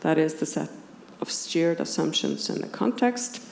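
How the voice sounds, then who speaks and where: A woman from Iceland with an Icelandic accent, a native speaker of Icelandic, giving a measured, precise academic lecture at a conference. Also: low-pitched, animated, darkish tone. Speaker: academic philosopher presenting at a conference. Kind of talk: academic lecture, partly scripted. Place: indoor conference room with audience. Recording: roomy conference hall, clearly audible background noise.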